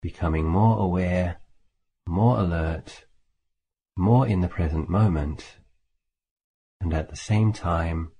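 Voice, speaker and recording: Male meditation teacher, low-pitched, mellow and relaxed tone, calm measured phrasing, long pauses, slight background hiss. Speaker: Buddhist monk, meditation teacher. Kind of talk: guided meditation.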